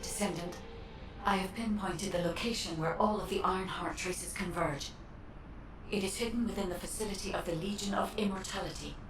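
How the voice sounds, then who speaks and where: A woman voicing an earnest, helpful AI guide in a video game, speaking formally with measured, precise diction. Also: mid-range voice, roomy sound, recorded from a distance, noisy background, lightly acted. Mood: earnest, composed. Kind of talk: scripted video game dialogue.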